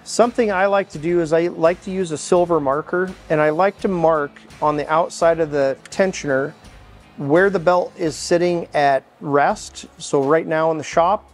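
Higher-pitched middle-aged North American man, instructing. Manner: explanatory, step-by-step. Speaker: mechanic instructor. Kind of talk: informal hands-on how-to instruction. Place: large indoor workshop.

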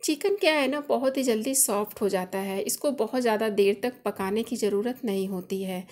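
A woman's voice: medium pitch, normal speed, darkish tone. A woman hosting a cooking channel, explaining each step of a recipe in a chatty, instructive voice-over. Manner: friendly instructive, chatty home-cook explaining.

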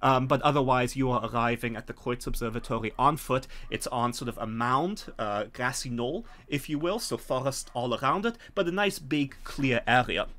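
A middle-aged man narrating a tabletop game as game master, conversational and halting as he thinks it through. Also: mid-range voice, sharp tone. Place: home room, indoors, on a video call.

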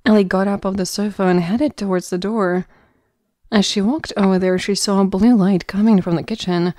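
A woman's voice: mid-range voice, fairly even intonation, moderate pace, mellow, dry recording, clean studio sound. A woman reading scripted narration for an audio drama.

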